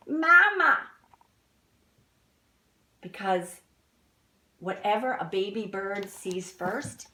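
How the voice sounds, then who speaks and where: A woman reading a picture book aloud to young children like a teacher, expressively, pausing to explain things. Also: mid-range voice, fairly bright tone. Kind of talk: read-aloud storytelling with asides to children. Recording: roomy indoor echo.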